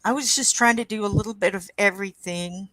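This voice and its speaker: A woman with a croaky voice hosting a coloring livestream, chatting casually and reading chat aloud at an easy pace. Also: mid-range voice, no echo, low-quality recording. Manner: slow, unhurried, reads chat aloud with soft asides. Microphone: webcam.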